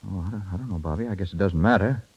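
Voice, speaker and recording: An actor playing an awkward, lovesick young husband who pleads haltingly, in a muffled 1930s radio drama. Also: male voice, sits low, husky. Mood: awkward, subdued. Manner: halting, stammering.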